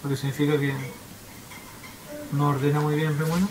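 A man's voice: on the high side, level tone, brisk, noisy background. A man hosting a gaming video with casual, playful, joking commentary. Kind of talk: casual let's-play commentary.

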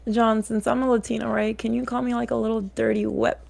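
A woman's voice: a little high, flat delivery, a little fast, gravelly, darkish tone, no room sound. A woman talking in slangy, crude, exaggeratedly gushing banter on a group voice call, flirting as bait. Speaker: decoy in an online predator sting.

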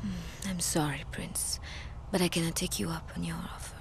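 A woman's voice: on the low side, steady tone, normal speed, heavy background noise, natural voice. A woman playing a proud, scheming, fatalistic empress villain in a video game, with a solemn, theatrical intensity. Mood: regretful, cold. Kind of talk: dramatic video game voice acting. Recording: orchestral game score behind. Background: loud game music.

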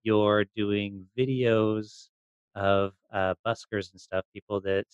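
A man's voice: lowish voice, level tone, takes it slowly, smooth, dry recording, highs cut off. A man interviewing a guest as a podcast host in a casual, conversational style.

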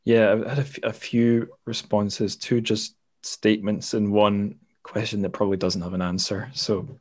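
Male interviewer with a slightly deep voice, informal and self-deprecating, feeling his way through questions. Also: little pitch movement, moderate pace, a little mellow, no room sound. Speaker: podcast host, interviewer. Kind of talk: casual interview chat.

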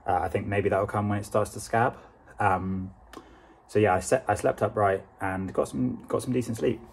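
A young man with a British accent talking casually to camera in a halting, understated way, with a husky, gravelly voice. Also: lowish voice, fairly even intonation. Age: young adult. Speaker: vlogger. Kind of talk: casual vlog talk to camera.

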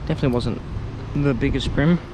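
A man's voice: medium pitch, quick pace. An Australian man giving laid-back, slangy commentary while fishing by a harbour, recorded on a body-worn action camera. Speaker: angler filming a fishing vlog. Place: outdoors on a harbour waterfront walkway. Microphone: action camera worn on head or chest. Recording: loud outdoor city and waterside noise.

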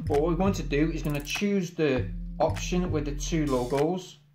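An English man narrates a hands-on tech tutorial in a friendly, chatty voice that sits a little high. He moves through each step plainly and upbeat. Music plays clearly beneath him. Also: normal speed. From England. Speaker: tech video host. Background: background music.

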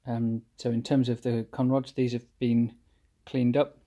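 A man calmly explaining his engine rebuild step by step while he works at a cluttered workshop bench. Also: mid-range voice. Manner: methodical, plain explanatory, pauses mid-phrase. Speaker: home mechanic rebuilding an engine. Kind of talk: hands-on workshop walkthrough.

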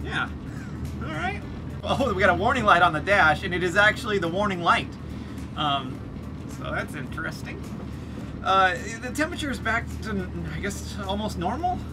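A man's voice: sits high, expressive, moderate pace, over music. A man in his thirties vlogging about an old car from behind the wheel, with dry, self-deprecating humor. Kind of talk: casual vlog commentary. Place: inside a moving car.

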